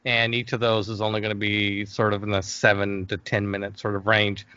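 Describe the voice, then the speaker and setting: A man's voice: fairly low pitch, completely flat delivery, a little fast, pushed and bright, clean tone, no room sound, highs cut off. A middle-aged man chatting casually as an interview guest, often stopping and restarting his sentences. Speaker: interview guest, fantasy film producer.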